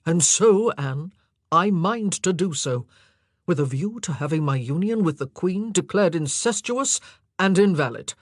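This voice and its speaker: A narrator voicing an anguished, impassioned and forceful male monarch in an audiobook drama. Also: mid-range voice, animated, unhurried, dry recording, studio quality. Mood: tormented.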